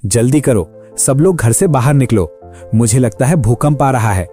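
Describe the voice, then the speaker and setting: Man playing an anxious, mellow-voiced poor son. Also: medium pitch, lively intonation, moderate pace, faint music behind, lightly acted. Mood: urgent, alarmed.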